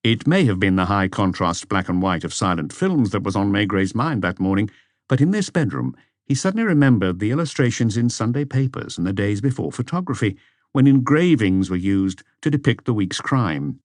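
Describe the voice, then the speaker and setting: A man's voice: fairly low pitch, sing-song, brisk, no echo, studio quality. A man reading scripted mystery fiction as an audiobook narrator.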